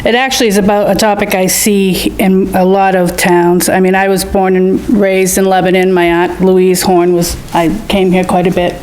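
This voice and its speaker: A woman's voice: fairly low pitch, darkish tone, slight background noise, poor audio. A woman asking candidates a question at a public forum, chatty and rambling. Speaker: audience questioner.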